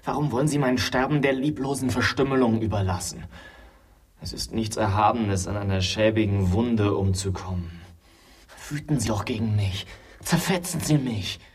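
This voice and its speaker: A wounded young man, husky and set slightly away from the microphone. He is morbid and longs for death, speaking in intense, formal, poetic phrases for a radio play. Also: lowish voice, moderate pace, recorded from a distance. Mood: bitter pleading. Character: wounded dying youth, morbid and yearning for death. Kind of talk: German radio drama acting.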